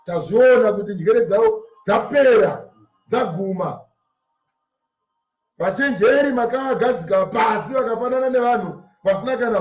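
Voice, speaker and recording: A man's voice: high-pitched, darkish tone. A man with a Zimbabwean Shona accent preaching a sermon, emphatic and repeating his phrases for effect. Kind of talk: sermon expounding scripture line by line. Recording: distant mic in echoey, muffled room.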